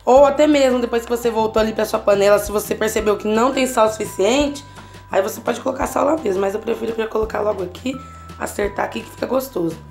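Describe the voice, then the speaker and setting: A woman's voice: on the high side, fast, vocal fry, darkish tone, faint music behind. Chatty woman talking through a home recipe. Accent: Brazilian Portuguese. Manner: chatty, constantly checking in with the viewer. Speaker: cooking channel host. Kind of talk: casual home cooking tutorial. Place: home kitchen, at the stove.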